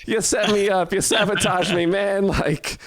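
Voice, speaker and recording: A man's voice: high voice, fairly even intonation, measured pace, a bit bright, a little hiss or hum. A young man co-hosting, telling stories in a casual, conversational way and repeating his points for emphasis. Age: young adult. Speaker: podcast co-host.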